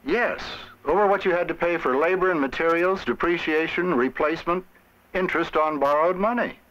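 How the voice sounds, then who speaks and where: An actor playing an older business investor, formal and businesslike, in a 1970s educational film. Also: male voice, fairly high pitch, a little fast, a bit bright, close to an everyday voice. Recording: dull old film soundtrack.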